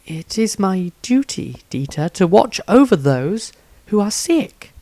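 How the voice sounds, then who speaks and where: A man's voice: high-pitched, big pitch swings, slow pace, dark tone, no echo, pitched above the performer's own voice, full character voice. A man voicing a devout, caring young woman healer in a fantasy story reading, earnest and emphatic. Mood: insistent.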